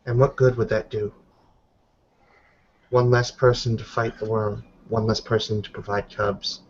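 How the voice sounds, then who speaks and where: Man plays protective she-werewolf in tabletop game, earnest, firm, unhurried pleading, muffled recording. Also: lowish voice, little pitch movement, slight background noise.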